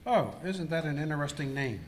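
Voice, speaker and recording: Man acting a high-voiced wisecracking cowboy. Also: animated, a little fast, close to an everyday voice. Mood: curious. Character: grumbling wisecracking male cowboy outlaw Bob. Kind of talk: amateur live radio skit acting. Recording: echoey hall, noisy live recording.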